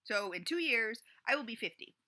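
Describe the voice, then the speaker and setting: Woman, late forties, chatty confessional vlogger, very bright tone, lots of fillers. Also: a little high, lively intonation, fairly quick. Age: 40s. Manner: rambling, chatty, full of "you know" and "like". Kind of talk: casual confessional vlog.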